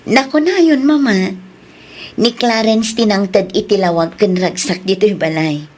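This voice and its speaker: A woman's voice: medium pitch, unhurried, a little mellow, close to an everyday voice. An actress with an Ilocano accent playing a devoted, grateful young wife and mother in a radio drama, in everyday dramatic speech. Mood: happy, grateful.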